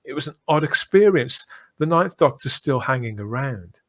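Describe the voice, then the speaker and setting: A man's voice: mid-range voice, animated, moderate pace, a bit bright. A British man hosting a casual fan podcast, chatty and often repeating phrases as he builds a point. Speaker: podcast host.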